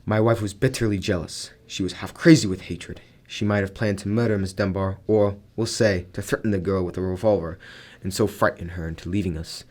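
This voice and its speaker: A man's voice: sits low, steady tone, a little fast, few pauses, a little mellow. A man acting a proud, overbearing wealthy man in a radio drama, formal and domineering in manner. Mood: stern, sober.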